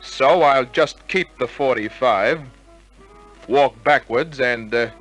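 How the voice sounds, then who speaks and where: An actor playing a wisecracking, suspicious private detective in 1950s radio crime drama style, with clipped, sardonic tough talk. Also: male voice, medium pitch, slow pace, a bit bright, poor audio. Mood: tough, wary. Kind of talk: 1950s radio crime drama acting and first-person narration. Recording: old radio drama recording with music.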